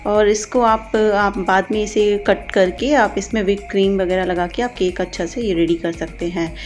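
A woman's voice: medium pitch, steady tone, normal speed, faint music behind. A woman giving informal step-by-step instructions as a cooking channel host. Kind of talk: recipe voice-over, step-by-step instruction.

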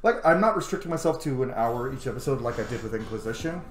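A man's voice: medium pitch, lively intonation, fast talker, a little hiss or hum. A man, around his thirties, giving casual, enthusiastic let's-play commentary in chatty asides. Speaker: game streamer and video host. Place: small room indoors at home. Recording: roomy sound, game music behind. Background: video game music and dialogue.

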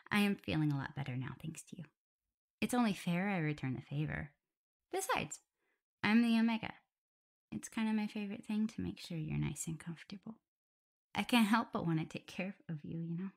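A woman acts out a tender omega werewolf girl in an intimate ASMR roleplay. Her voice is fairly low for a woman, with some fry in it. She speaks softly and soothingly, with a caring, playful and slightly hesitant manner. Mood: fond, reassuring. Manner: soft, soothing, hesitant, giggly. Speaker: audio roleplay performer. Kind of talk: soft ASMR roleplay, intimate one-sided dialogue.

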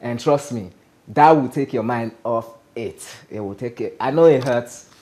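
A man's voice: mid-range voice, normal speed. A man with a Nigerian accent, a stand-up comedian talking casually as a guest in a TV studio interview. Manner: animated, gestures a lot, asks "you understand?". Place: TV studio set.

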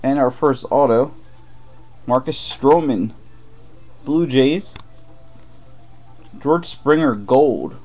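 A man's voice: medium pitch, extremely slow, long pauses, a little mellow. A man giving muttered play-by-play while opening packs of trading cards during a live break. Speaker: card break host. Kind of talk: live card break commentary. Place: room with boxes on a desk. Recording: faint wrapper crinkling behind.

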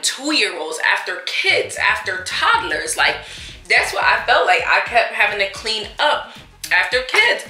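A young American woman chatting casually and emphatically, with a sassy, expressive manner, as in a vlog storytime. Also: mid-range voice. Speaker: vlogger. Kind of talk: casual storytime chat during a mukbang. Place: indoors in a small room at a table. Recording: echoey room.

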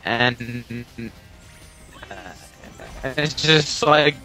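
A man's voice: mid-range voice, fairly even intonation, extremely slow, sharp tone. A man playing a video game and commenting casually with friends, griping sarcastically and swearing freely. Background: video game music.

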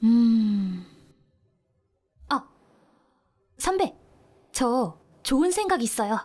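A woman's voice: a little high, big pitch swings, very slow, heavily acted. An actress playing a cheerful, teasing young female office worker in an amateur audio drama. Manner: bright, teasing, eager.

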